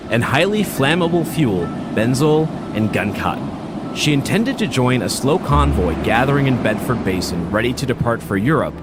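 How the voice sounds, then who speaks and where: A man reads a documentary narration in a steady, measured way. His pitch sits in the middle for a man. Loud music plays under his voice throughout.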